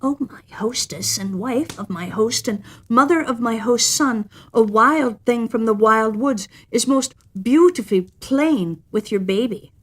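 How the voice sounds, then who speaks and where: A woman's voice: a little high, expressive, measured pace, hardly any pauses, voice pushed up. A woman voicing a little gossipy bat messenger in a storybook, informative and courteous. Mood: courtly.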